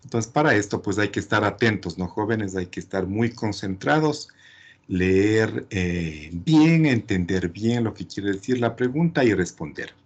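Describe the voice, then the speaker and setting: A man's voice: fairly low pitch, animated, measured pace. A man teaching a class, didactic and often adding short check questions. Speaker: chemistry teacher.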